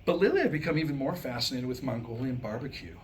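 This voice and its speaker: An American man performing a staged comic monologue in a wry, self-deprecating way, with deliberate pauses around his punchlines. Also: medium pitch, lively intonation. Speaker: writer and performer reading his own new comic script. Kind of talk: staged comedic monologue to a live audience. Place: live staged reading before a small audience. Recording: noisy live room recording.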